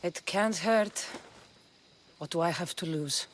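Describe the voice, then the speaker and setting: A woman's voice: lowish voice, slow pace, slight background noise. An actress playing a tough young mercenary woman in a video game, blunt and sardonic, with a Greek accent. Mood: reluctant, questioning. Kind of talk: video game cutscene acting.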